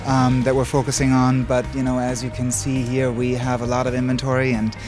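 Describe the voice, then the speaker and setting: A man's voice: medium pitch, monotone, a little fast. Gallery owner guy from germany, friendly, rambles a bit, music playing behind. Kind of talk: casual interview.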